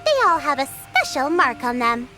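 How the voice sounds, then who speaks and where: A woman voicing a calm, authoritative police chief in a video game, speaking in a measured, formal way. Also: high-pitched, loud music behind the voice. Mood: calm, stern.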